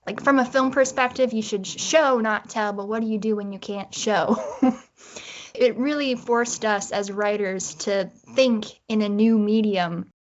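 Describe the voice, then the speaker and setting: A woman's voice: mid-range voice, steady tone, measured pace, highs cut off. A woman speaking as a guest writer in a casual interview, thinking aloud in an informal way.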